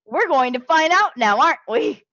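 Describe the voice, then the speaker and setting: Woman game master, laughing a lot, pitch all over, muffled lo-fi sound. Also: lively intonation, normal speed, pushed and bright, no room sound. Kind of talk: running a tabletop game.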